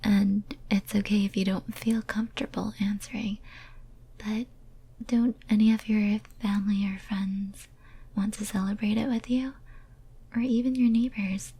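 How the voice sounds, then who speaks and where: A woman playing a lonely, obsessive female demon in a whispered ASMR roleplay, speaking softly and breathily in a tender, intimate, hesitant way. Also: medium pitch, flat delivery, unhurried, husky. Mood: concerned, tender.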